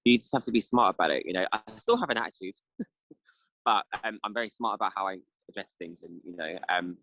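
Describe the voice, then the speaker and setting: A man's voice: sits low, lively intonation, a little fast, creaky, sharp tone, no echo, rough recording. A man, answering interview questions in a reflective, casual way, with many fillers. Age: 30s. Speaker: interview guest.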